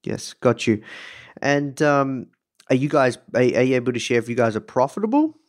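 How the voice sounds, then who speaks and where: A man hosting a casual interview, asking informal questions in a halting, searching way. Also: mid-range voice, big pitch swings. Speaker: podcast interviewer, host.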